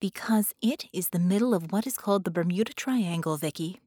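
A woman's voice: fairly low pitch, fairly quick, warm tone, no room sound, clean studio sound, lightly acted. A woman voicing a helpful artificial intelligence in an audiobook, with formal, factual, precise delivery. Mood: factual, measured. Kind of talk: audiobook character voice.

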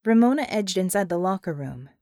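A woman reading a novel as an audiobook narrator, in an expressive scripted storytelling style. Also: on the low side, a little fast, no room sound, studio quality.

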